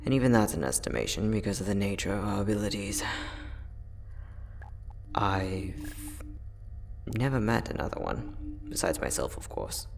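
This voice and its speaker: A man playing a friendly, teasing time-traveling inventor, soft-spoken and chatty in an ASMR roleplay audio drama. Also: fairly low pitch, very animated, moderate pace, gravelly, long pauses, faint music behind. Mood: wry, thoughtful. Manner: soft-spoken, chatty, playful, with frequent chuckles.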